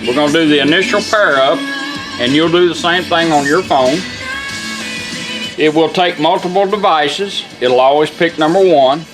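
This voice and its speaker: A man's voice: fairly high pitch, normal speed, music in the background, poor audio. A plain-spoken man giving a casual hands-on product demonstration in a folksy way.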